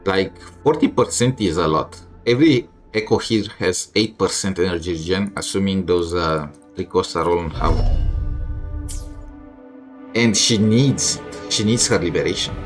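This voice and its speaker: Low-voiced man giving casual game commentary. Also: normal speed. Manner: thinks aloud, mildly complaining. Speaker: gamer streaming a playthrough. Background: game music.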